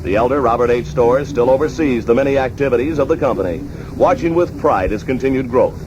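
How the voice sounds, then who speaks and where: A man's voice: medium pitch, brisk. A man with a formal announcer delivery, reading scripted narration in the manner of a 1960s radio documentary. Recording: dull, noisy archival 1960s radio recording.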